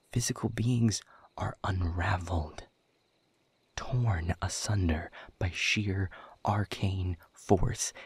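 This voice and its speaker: A man playing a hopeless, exiled demon in an audio roleplay, weary, bitter and sardonic, with a husky, breathy voice. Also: deep voice, darkish tone, no room sound, exaggerated voice. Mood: grave warning. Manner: slow, grave, resigned, sardonic. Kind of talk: intimate audio roleplay acting.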